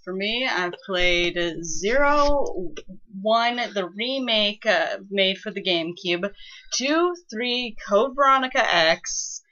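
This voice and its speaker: A woman's voice: mid-range voice, lively intonation, slow, sharp tone. A young adult woman co-hosting with casual, sardonic commentary, recorded on a lo-fi microphone. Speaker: co-host commenting on fan fiction. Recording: dull lo-fi microphone.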